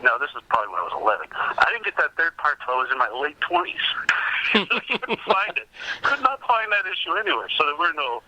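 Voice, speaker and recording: A man in his fifties chatting casually as an interview guest, telling anecdotes in an animated way and quoting himself over a dull call connection. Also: fairly high pitch, quick pace, dry recording. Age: 50s. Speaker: podcast guest, comic collector.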